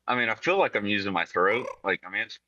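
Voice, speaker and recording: A man hosting a podcast, asking halting, searching questions in a casual chat. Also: lowish voice, animated, sharp tone, highs cut off, a little hiss or hum. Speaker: podcast host.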